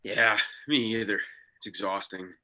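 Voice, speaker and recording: A man in a table read playing Art, an excitable UFO spotter who talks casually and emphatically, with swearing. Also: fairly low pitch, measured pace. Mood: casual, weary. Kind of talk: table read of a comedy script.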